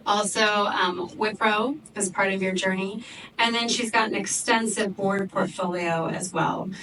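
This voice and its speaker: A woman moderating a fireside chat, warmly introducing the guest with lots of ums. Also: mid-range voice, steady tone, measured pace. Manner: enthusiastic, many ums. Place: office event space, live audience. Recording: distant mic with background noise.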